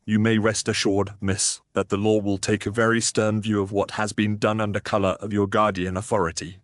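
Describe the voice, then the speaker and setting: A man's voice: lowish voice, little pitch movement, fairly quick, no room sound, clean studio sound, close to an everyday voice. A British man playing a stern Victorian police inspector, speaking formally and officially in an audio mystery. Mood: stern, grave. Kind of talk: audiobook character acting.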